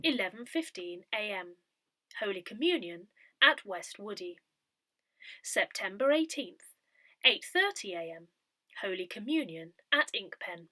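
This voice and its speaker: A woman reads prepared text aloud with careful, measured phrasing. Her voice is bright and clearly projected, with lively rises and falls in pitch. The sound is dry and close, free of room echo. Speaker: volunteer reader of an audio parish newsletter. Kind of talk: scripted read-aloud of articles, poems and notices.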